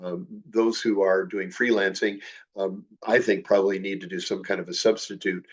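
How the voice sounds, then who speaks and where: Older congested man, slightly low voice. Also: steady tone, normal speed. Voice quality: nasal. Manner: hesitant, many fillers and self-corrections. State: congested from a cold. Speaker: presenter. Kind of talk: slide presentation talk.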